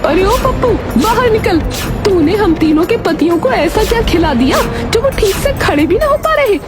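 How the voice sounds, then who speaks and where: A woman doing a shrill, scolding village wife. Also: expressive, moderate pace. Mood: furious. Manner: scolding, shouting. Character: sharp, furious village wife. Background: background music.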